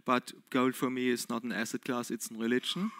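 A man's voice: medium pitch, little pitch movement, fairly quick, fairly bright tone. A man in his forties with a German accent, presenting as a panelist on a conference stage with a headset mic, hesitant and full of fillers. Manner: hesitant, many fillers, explaining a chart. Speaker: panelist, investment expert.

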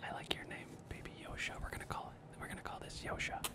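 A man in his thirties whispering close to a desktop microphone as he hosts an ASMR unboxing. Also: fast. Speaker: host of a family video channel, a dad. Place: indoor room at a wooden table. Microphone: desktop microphone on a small tripod, close up.

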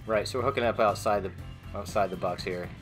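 Deep-voiced young man explaining a build. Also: a little fast, over music. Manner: plain, matter-of-fact with fillers. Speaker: co-host of a DIY video. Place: home garage workshop.

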